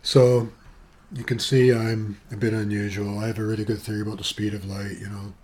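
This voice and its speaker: A soft-voiced Canadian man in his seventies, sitting at his computer at home and rambling through a personal video monologue with many asides. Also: lowish voice, level tone, a little fast. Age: 70s. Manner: chatty, eccentric, wandering with asides and fillers. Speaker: vlogger. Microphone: webcam with external sound gear.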